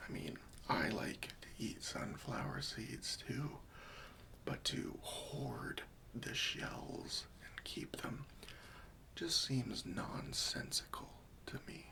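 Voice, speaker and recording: Guy doing a friendly patient helper in an asmr roleplay, whispery n crackly, real slow coaxing. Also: medium pitch, animated, gravelly, heavily acted. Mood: gently coaxing. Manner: soft, coaxing, patient, questioning, reassuring. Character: gentle, patient, friendly male helper for hoarders.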